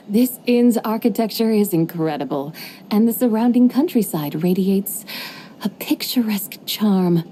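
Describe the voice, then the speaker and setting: A woman's voice: medium pitch, expressive, a little slow, warm tone, a little hiss or hum, close to an everyday voice. A woman voicing a poetic, showy ancient horse spirit. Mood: amused, poetic. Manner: archaic, poetic phrasing with a wry, amused tone. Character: ancient, playful female horse spirit who shows off.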